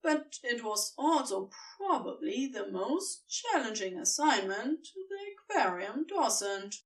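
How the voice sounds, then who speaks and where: A woman narrates a story in a fairly high voice. Her delivery is unhurried and deliberate, with lively swings in pitch and dramatic pauses for effect. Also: recorded from a distance, poor audio. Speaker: audiobook narrator. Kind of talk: expressive scripted fiction narration.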